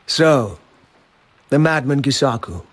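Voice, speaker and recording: An actor playing a proud, cultured court lord in a radio drama, speaking in a formal, restrained and disdainful way. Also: male voice, medium pitch, animated, takes it slowly. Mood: guarded, disdainful.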